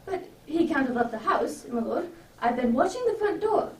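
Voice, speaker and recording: A woman's voice: sits high, big pitch swings, moderate pace, roomy sound, far from the mic, a crowd in the background. A woman playing a deferential, apologetic male butler, formal and polite, in an amateur radio drama.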